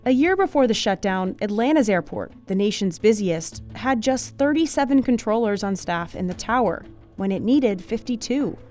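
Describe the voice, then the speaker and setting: A woman's voice: mid-range voice, expressive, moderate pace, darkish tone, soft background music. An American woman reading scripted documentary narration as the narrator of a news feature.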